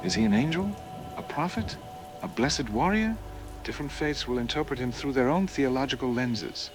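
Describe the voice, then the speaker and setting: A man playing a solemn religious studies professor in an audio drama, explaining in a deliberate, scholarly way. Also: medium pitch, lively intonation, over music, lightly acted. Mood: solemn, reflective. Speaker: professor, expert interviewee. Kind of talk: scripted expert news interview.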